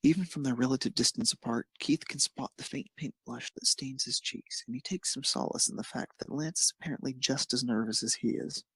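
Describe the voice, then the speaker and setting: Male narrator for fan fiction, mid-pitched with a rough, creaky edge, scripted read. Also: fairly quick, hardly any pauses, a bit bright, dry recording.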